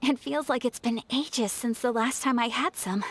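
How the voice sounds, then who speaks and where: A woman's voice: fairly high pitch, normal speed. An actress playing a polite, refined young noblewoman in an anime game, speaking with courteous composure.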